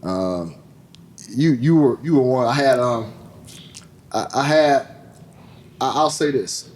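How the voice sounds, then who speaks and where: A man's voice: mid-range voice, animated, slow pace, a little mellow. A man in his late thirties hosting a podcast interview, trading loose, joking banter full of slang. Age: 30s. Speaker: podcast host. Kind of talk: casual interview chat.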